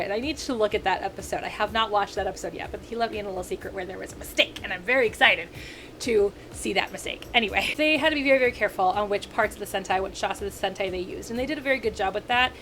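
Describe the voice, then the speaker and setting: Rambling woman in her thirties, higher voice. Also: slight background noise. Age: 30s. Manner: rambling and animated, with frequent self-corrections and asides. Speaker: video critic. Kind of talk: casual rant to camera.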